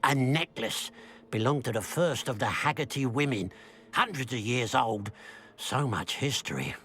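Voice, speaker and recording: An actor playing a bitter, suspicious village shopkeeper in a video game, grumbling with dramatic sarcasm. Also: male voice, medium pitch, expressive, measured pace, a bit bright, breathy edge. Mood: bitter, scornful. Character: bitter, suspicious village shopkeeper man, resentful of his sister.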